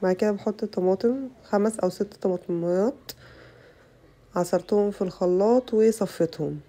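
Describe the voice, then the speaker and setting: A young Egyptian woman with an Egyptian Arabic accent, her voice rough from a bad cold, chatting to her viewers through a casual cooking tutorial. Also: medium pitch, normal speed, dark tone. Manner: chatty, addresses viewers as girls. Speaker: cooking channel host.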